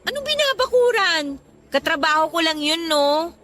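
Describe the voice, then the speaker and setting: A woman's voice: very high voice, big pitch swings, moderate pace, a bit bright, exaggerated voice. An actress playing a shy, defensive young working woman with a Filipino accent in a radio drama.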